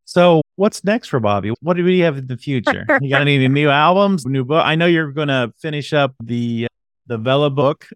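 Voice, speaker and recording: A man's voice: medium pitch, animated, normal speed, clean tone, no room sound. Male host with a dry, close sound. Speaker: interview host. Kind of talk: casual conversational interview.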